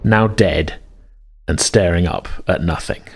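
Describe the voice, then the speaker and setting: A man's voice: low voice, a little slow, vocal fry. A man hosting and narrating an improvised tabletop role-playing game as game master. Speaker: game master and podcast host.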